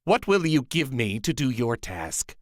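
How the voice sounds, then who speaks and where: A man's voice: medium pitch, big pitch swings, unhurried, dry recording, studio quality. A man voicing a merry, sly little dwarf in a storybook, with a theatrical, singsong delivery. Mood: bargaining. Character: little male dwarf, merry, sly bargaining magical creature. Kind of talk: storybook character voice.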